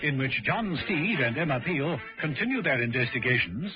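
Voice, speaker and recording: A man's voice: fairly high pitch, sing-song, normal speed, music in the background. A man narrating a scripted vintage radio drama. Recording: dull old radio recording.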